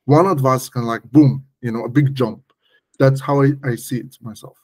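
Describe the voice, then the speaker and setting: A man's voice: medium pitch, steady tone, moderate pace. A man speaking as a guest expert, giving casual, emphatic, step-by-step technical explanations in conversation. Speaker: guest malware analysis expert.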